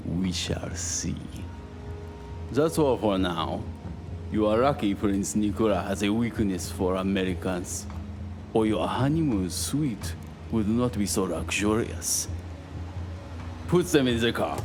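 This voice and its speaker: An actor playing a suspicious Japanese naval commander, coldly polite and formal, in an audio drama. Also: male voice, on the low side, lively intonation. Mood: smug, menacing. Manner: deliberate, formal, coolly threatening. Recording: music under the dialogue.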